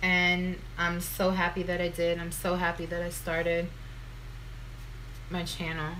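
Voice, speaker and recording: A young American woman speaks in a deep voice with a bright, forward edge. She chats informally and candidly, often poking at herself, like a vlogger talking to followers. There is faint noise behind her in the home-video recording. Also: completely flat delivery, a little slow, poor audio. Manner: candid, self-deprecating, informal. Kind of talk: casual chatty get-ready-with-me storytime.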